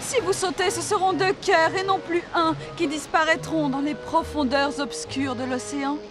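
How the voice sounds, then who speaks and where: A woman playing a spirited, imperious young princess in a fairy-tale cartoon, speaking in a theatrical, expressive way. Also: high voice, moderate pace, over music, natural voice. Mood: tender. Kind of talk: cartoon voice acting.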